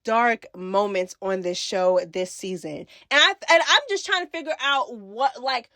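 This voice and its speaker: Sassy high-pitched woman vlogger. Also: a little slow, sharp tone, no room sound, clean studio sound. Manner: sassy, slangy, emphatic repetition. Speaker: YouTube reality TV recap host. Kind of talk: casual opinionated commentary.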